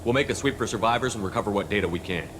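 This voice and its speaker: An actor playing a decisive military starship commander in a video game, brisk and commanding. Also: male voice, lowish voice, background noise, lightly acted. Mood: resolute.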